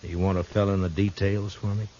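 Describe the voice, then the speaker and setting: An actor playing a calm, stern, world-weary frontier marshal with a terse, dry delivery, in 1950s radio drama style. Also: male voice, deep voice, fairly quick, natural voice. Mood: dry, probing. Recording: scratchy, muffled 1950s radio recording.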